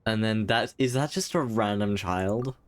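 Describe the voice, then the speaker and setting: A man's voice: on the low side, measured pace. A man live-streaming a game, making brief, casual muttered reactions.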